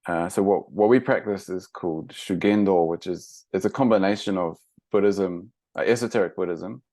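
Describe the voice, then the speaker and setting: Deep-voiced New Zealand man, unhurried. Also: dry recording. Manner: relaxed, explanatory, hesitant with fillers and restarts. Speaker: guest, mountain monk trainer. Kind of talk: casual interview.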